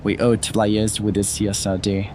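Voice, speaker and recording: A man's voice: lowish voice, little pitch movement, moderate pace, mellow, a little hiss or hum, close to an everyday voice. A man acting a wary, gloomy paranormal investigator in an audio drama. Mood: grim alarm.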